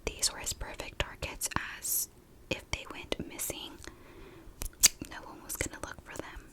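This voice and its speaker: A woman whispering a true crime story in a soft, breathy ASMR style, unhurried and informal, like chatting to viewers. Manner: unhurried, informal, conversational narration.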